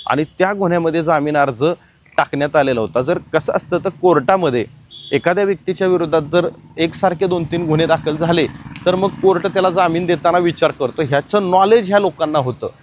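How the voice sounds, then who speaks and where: A man's voice: fairly high pitch, fairly quick, slight background noise. A man presenting explanatory crime news commentary, emphatic and speaking straight to the audience.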